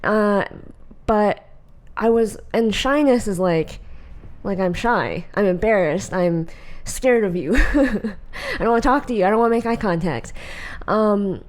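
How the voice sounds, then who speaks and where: A soft-spoken woman co-hosting a podcast, chatting casually in a quiet voice. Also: mid-range voice, lively intonation, measured pace. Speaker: podcast co-host. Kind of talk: casual chat.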